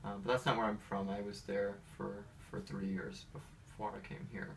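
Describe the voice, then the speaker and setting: A man's voice: on the low side, flat delivery, a little fast, gravelly, a bit bright. A man in his thirties with an American accent, talking casually and hesitantly in a rambling, personal way as he answers interview questions. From Atlanta, United States. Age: 30s. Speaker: interviewee, pianist. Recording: distant mic with faint background noise.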